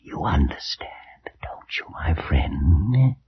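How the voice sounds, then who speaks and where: An actor playing a morbid, grief-maddened last heir of a doomed family in vintage radio horror, theatrical and volatile between hushed and feverish delivery. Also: male voice, very deep voice, very animated, slow, creaky, slightly rough, exaggerated voice. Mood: hushed, paranoid. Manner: theatrical, swinging from hushed whispers to feverish outbursts. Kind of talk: 1940s-50s radio horror drama acting. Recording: dull, noisy vintage radio recording.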